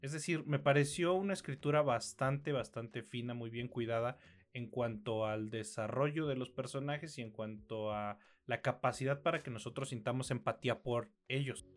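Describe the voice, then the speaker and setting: Mexican man, mid-pitched, measured and articulate. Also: faint music behind. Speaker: podcast co-host. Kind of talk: conversational film review podcast.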